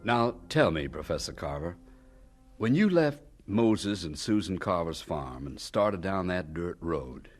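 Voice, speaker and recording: A man acting as a solemn, gentle American announcer and interviewer in a radio drama. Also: low-pitched, sing-song, a little slow, soft background music. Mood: gentle probing.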